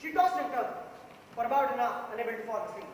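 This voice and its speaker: A man playing a methodical juror in an amateur stage play, laying out his argument deliberately. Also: very high voice, lively intonation. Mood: firm, methodical. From India. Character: methodical male juror arguing firmly for guilt. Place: theatre stage, recorded from the audience. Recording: noisy echoey hall, far from mic.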